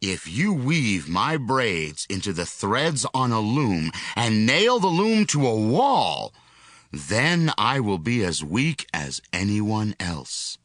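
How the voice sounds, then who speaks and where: A mighty, proud strongman, voiced by a man in a scripture drama. He speaks low and slowly, with a bright, forceful edge and a lively, swooping delivery, recorded dry and close. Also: dry recording, heavily acted. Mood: evasive.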